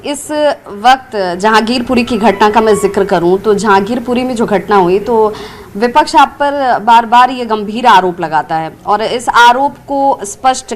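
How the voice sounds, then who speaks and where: A woman working as a TV news interviewer, putting formal questions in a broadcast interview. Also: a little high. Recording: noticeable background ambient noise.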